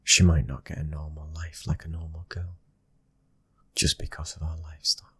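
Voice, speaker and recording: A man playing a gentle, devoted mafia husband and father with a British accent. His voice is extremely deep and very breathy, often sinking to a whisper. The delivery is even and intimate, in a soft ASMR roleplay style, recorded close and dry. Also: normal speed, no echo, full character voice. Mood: loving, tender.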